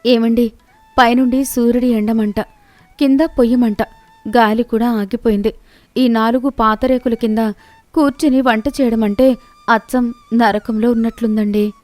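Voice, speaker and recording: A woman's voice: fairly high pitch, steady tone, normal speed, pauses often, a little mellow, no echo, soft background music, lightly acted. A woman voicing a kind, hardworking young daughter-in-law in a village moral story, earnest and respectful. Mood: weary, suffering.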